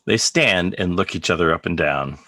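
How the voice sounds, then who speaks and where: Low-voiced male narrator, dry sound. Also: moderate pace, sharp tone, no echo. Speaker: narrator reading stage directions. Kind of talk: scripted narration in present tense. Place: remote video call table read, dry sound.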